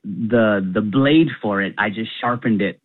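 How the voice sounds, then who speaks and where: Fast-talking man on a tinny phone line. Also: lowish voice, dry recording. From Canada. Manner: fast, animated, laughs often. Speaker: comedian guest. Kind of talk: phone interview.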